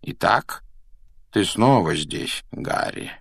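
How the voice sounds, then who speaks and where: Male narrator as old headmaster, slow knowing delivery, gentle, low-pitched, storybook character. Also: lively intonation, vocal fry, husky, dry recording, studio quality, exaggerated voice. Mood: calm, amused.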